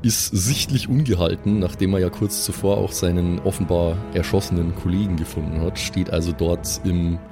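A man with a fairly low voice tells an improvised tabletop adventure as its game master. Music plays clearly behind his narration. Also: steady tone, moderate pace, few pauses.

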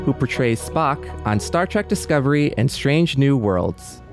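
A man's voice: mid-range voice, expressive, unhurried. Male podcast host over faint music. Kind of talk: scripted podcast hosting.

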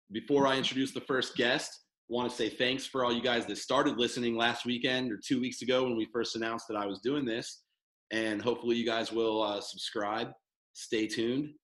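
A man's voice: mid-range voice, fairly bright tone. Rambling male podcast host, echoey room. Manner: loose, rambling, with many fillers and restarts. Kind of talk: casual podcast chat. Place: studio headquarters room.

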